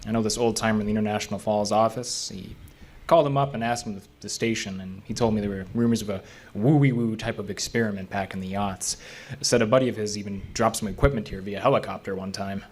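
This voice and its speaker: A man's voice: on the low side, steady tone, fast, natural voice. A man acting the part of a skeptical but curious park ranger in an audio drama, speaking conversationally with some hesitation. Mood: confiding. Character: level-headed, skeptical male park ranger with quiet curiosity. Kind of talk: naturalistic audio drama dialogue.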